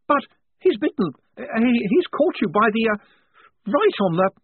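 A flustered, short-sighted young army lieutenant, voiced by a man, stammering and hunting for words. The voice leaps up and down in pitch. It sounds husky and thin, as if heard over a phone. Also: very animated, moderate pace, dry recording, heavily acted. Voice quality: stammering, hesitant. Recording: dry tinny phone-like sound.

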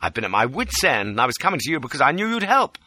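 A man's voice: medium pitch, big pitch swings, fast talker, pushed and bright, pitched above the performer's own voice. A man voicing a desperate, wheedling English rogue who pleads in an overwrought, exclamatory way, as in a dramatic audiobook. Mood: desperate pleading. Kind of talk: dramatic audiobook character acting.

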